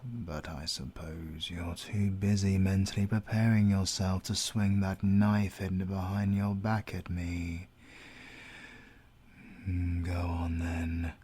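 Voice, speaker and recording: A British man playing a menacing supernatural interrogator in an ASMR audio roleplay, coldly polite and deliberate, with a breathy, gravelly, often whispered voice. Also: sits low, darkish tone, heavily acted. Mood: taunting. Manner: slow, deliberate, mockingly courteous. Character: menacing supernatural male villain, clawed soul-taking interrogator, coldly polite.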